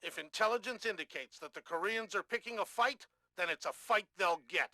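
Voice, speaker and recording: An actor playing a grim, hawkish American military commander giving an authoritative formal briefing in a video game. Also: male voice, high-pitched, fairly quick, bright, forceful tone, dry recording. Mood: stern, hawkish.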